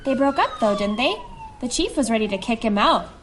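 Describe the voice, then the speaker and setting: A woman's voice: fairly high pitch, sing-song, a little fast, fairly bright tone. A woman playing a sceptical, gossipy police officer chatting in a folksy, droll way in an audio drama. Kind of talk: conversational audio drama acting. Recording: clear background ambience noise.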